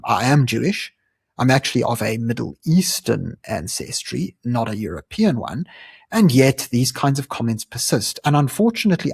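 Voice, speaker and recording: South African male host, husky mid-range voice, earnest chatty delivery, dry sound. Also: medium pitch, darkish tone, no echo. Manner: earnest, conversational, frequent fillers. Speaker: channel host. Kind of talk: explanatory commentary to camera.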